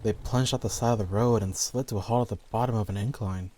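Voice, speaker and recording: A man's voice: lowish voice, steady tone, fast, a little mellow, a little hiss or hum. A man reading scripted horror stories as the narrator.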